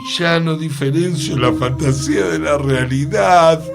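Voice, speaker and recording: An actor playing an earnest, formal radio co-host bewildered by magic in a scripted radio play. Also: male voice, fairly high pitch, measured pace, gravelly, over loud music. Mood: reasoning. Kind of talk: scripted radio theatre dialogue.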